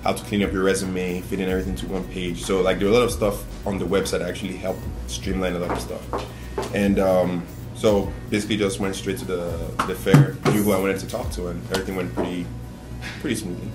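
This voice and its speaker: A man's voice: low-pitched, level tone, fairly quick, loud music behind the voice. A young man, giving an interview as a graduate student. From Nigeria. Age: young adult. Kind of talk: interview testimonial. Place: small indoor meeting room.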